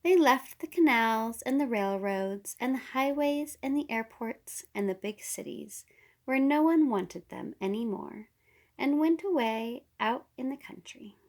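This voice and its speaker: A woman with a fairly high voice reads a picture book aloud for children. She tells the story without rushing, leaving deliberate pauses between phrases. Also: expressive. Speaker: storybook reader.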